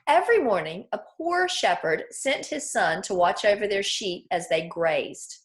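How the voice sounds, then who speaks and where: A woman teaching children through a read-aloud, explaining things clearly and putting questions to her listeners. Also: mid-range voice, lively intonation, unhurried.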